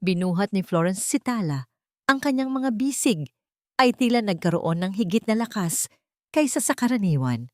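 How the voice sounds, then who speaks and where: A woman's voice: mid-range voice, very animated, normal speed, dark tone, dry recording, clean studio sound. A woman reading scripted dramatic narration in a solemn, melodramatic storytelling manner.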